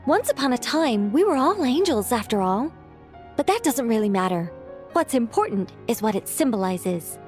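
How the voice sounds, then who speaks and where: A woman playing a whimsical young witch in a video game, self-assured and breezy, musing in a gently theatrical way. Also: a little high, big pitch swings, moderate pace, faint music behind. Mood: wistful, reassuring.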